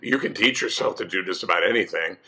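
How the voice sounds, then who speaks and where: Older male guest, slightly hoarse, low voice with a bright edge, easygoing storyteller. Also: quick pace. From California. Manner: relaxed, storytelling. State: hoarse from a cold. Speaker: guest musician. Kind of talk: casual interview. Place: home music room with guitars.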